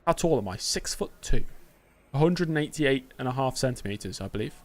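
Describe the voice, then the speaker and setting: A man's voice: mid-range voice, expressive, brisk, darkish tone. A young British man talking through a racing game live, chatty and self-mocking as he answers his chat. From Britain. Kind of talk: casual live-stream commentary.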